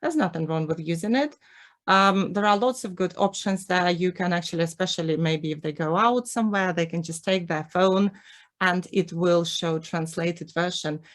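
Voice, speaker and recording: A woman's voice: lowish voice, moderate pace. A woman from Ukraine with a Ukrainian accent, whose first language is Russian, giving an earnest online presentation over a video call, with frequent ums and restarts.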